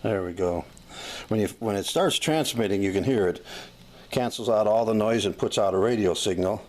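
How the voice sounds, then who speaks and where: An American man giving a casual, unscripted demonstration as a hobbyist, talking in a folksy, plain-spoken, halting way. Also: fairly low pitch, a little mellow. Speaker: hobbyist demonstrating a vintage gadget. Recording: clearly noisy amateur recording.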